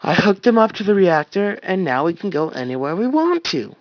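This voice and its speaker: A man's voice: sing-song, fairly quick, no echo, muffled recording. A man doing a childlike, overexcited soldier. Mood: eager. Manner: high, eager, rambling. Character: young male soldier, cheerful, childlike, excitable.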